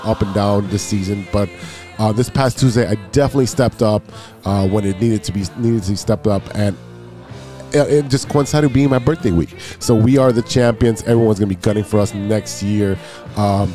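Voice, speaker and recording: A man's voice: lowish voice, warm tone. A man hosting a casual sports podcast, sounding stuffed up and nasal from allergies, rambling and correcting himself as he gets hyped. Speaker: podcast host.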